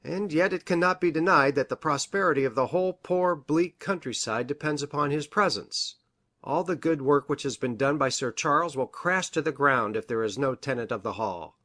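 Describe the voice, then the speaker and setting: A man playing an earnest, anxious country doctor in a Victorian detective story, speaking formally and deliberately. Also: mid-range voice, a bit bright, natural voice. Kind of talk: dramatised reading of a Victorian detective novel.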